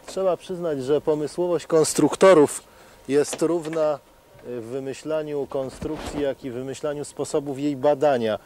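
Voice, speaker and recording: A middle-aged man explaining helicopter testing as a TV presenter, in a measured way with frequent hesitations. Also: on the high side, warm tone, slight background noise. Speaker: TV science presenter. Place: outdoors at a helicopter test stand.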